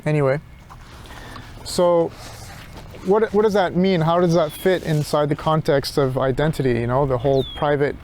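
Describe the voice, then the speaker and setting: A man rambling casually and reflectively in a halting monologue as he walks outdoors, thinking aloud. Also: on the high side, steady tone, a little mellow, a little hiss or hum. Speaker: philosophy tutor vlogger. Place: outdoors, walking.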